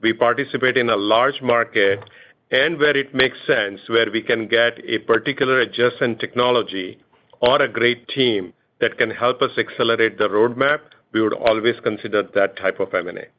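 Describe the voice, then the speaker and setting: A man gives an executive's answers on an earnings call. His delivery is measured and halting, with a middling pitch. The sound is dull and lo-fi, as on a conference line. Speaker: company chief executive answering analysts.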